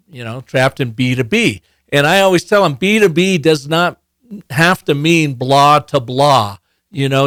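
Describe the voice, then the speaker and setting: A man's voice: medium pitch, clean tone, no room sound. A man hosting a podcast, encouraging his guest with playful sports metaphors. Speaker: podcast host. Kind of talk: interview conversation.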